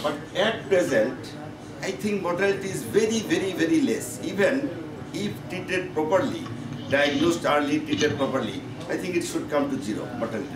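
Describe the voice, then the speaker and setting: A Bangladeshi man lectures to a medical conference in a somewhat high voice. He speaks in halting phrases and repeats himself for emphasis. The recording is echoey and noisy, as in a meeting room. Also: expressive, distant mic.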